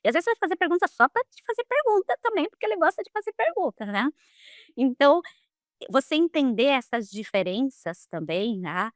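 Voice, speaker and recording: A Brazilian woman of middle age, a guest speaking in a casual interview, lively and quick to laugh, often ending phrases with "né?". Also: high voice, no room sound, studio quality. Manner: lively, animated, quick to laugh, frequent "né?" tags. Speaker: guest, HR leader.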